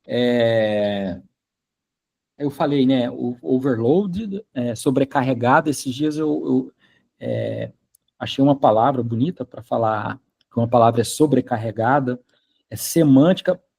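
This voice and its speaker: Husky-voiced chatty male lecturer. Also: mid-range voice, big pitch swings, unhurried, warm tone. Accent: Brazilian Portuguese. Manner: chatty, asks students if they follow, many fillers. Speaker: university lecturer. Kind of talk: informal classroom lecture.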